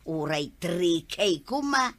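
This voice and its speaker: A woman's voice: fairly low pitch, sing-song, takes it slowly. A woman playing a hard-up, persistent village housewife in an Ilocano-accented comedy radio drama, with a complaining manner. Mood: pleading.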